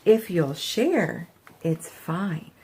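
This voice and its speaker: A woman's voice: lowish voice, expressive, very slow, warm tone, slightly rough, full character voice. A woman voicing young dinosaur classmates in a storybook, exclaiming with stretched-out words. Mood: surprised, welcoming. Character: group of young dinosaur classmates, wary of the bully.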